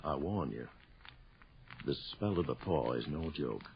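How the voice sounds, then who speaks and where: Deep, haunted old sergeant major. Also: male voice, animated, creaky, a little hiss or hum, heavily acted. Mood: stern warning. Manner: theatrical, ominous storyteller with dramatic pauses. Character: haunted old English sergeant major back from India, grave and foreboding. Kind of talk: old-fashioned radio horror drama acting. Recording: dull, lo-fi old radio drama recording.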